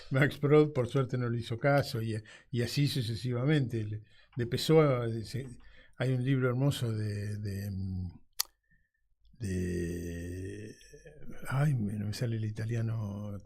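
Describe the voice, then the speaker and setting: A man with a mid-range voice hosts a radio talk. He chats freely, nods along with steady affirmations and restarts phrases while hunting for words. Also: mid-range voice, takes it slowly. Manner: chatty, affirming, hesitant restarts. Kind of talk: radio conversation.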